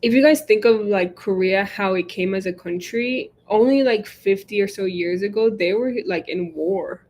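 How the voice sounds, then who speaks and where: A woman's voice: medium pitch, moderate pace, darkish tone. A young woman co-hosting a casual livestream, chatting with many fillers and reading viewer comments aloud. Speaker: livestream co-host. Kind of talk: casual live chat.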